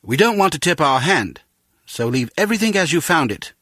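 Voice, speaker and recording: A man playing a formal, measured, understated chief of a spy agency in a video game. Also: on the high side, very animated, sharp tone, no room sound, studio quality, exaggerated voice. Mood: measured, firm.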